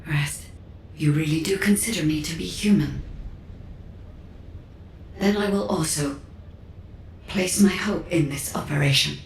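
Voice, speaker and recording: A woman voicing a measured artificial guide entity, speaking formally and without emotion in game cutscene dialogue. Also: low-pitched, gravelly, slight background noise. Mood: wondering. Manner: formal, precise, unemotional. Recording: echoey reverberant sound.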